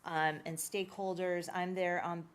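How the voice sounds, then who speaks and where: A woman's voice: lowish voice, little pitch movement, moderate pace, slight background noise. A woman on a school board giving an informal report at a meeting, with plenty of fillers.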